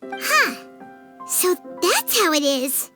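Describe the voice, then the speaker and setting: A woman voicing a small, chirpy, curious flying fairy companion in a video game, with a squeaky childlike voice and expressive delivery. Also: very slow, exaggerated voice. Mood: amazed, curious. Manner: refers to self in third person, expressive. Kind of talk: video game character acting. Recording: background music under the voice.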